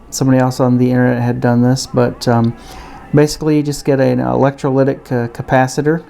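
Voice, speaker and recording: A man giving a casual, unscripted product review and demonstration in a halting, hesitant way. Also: mid-range voice, creaky, mellow, clear, resonant tone. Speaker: gadget reviewer.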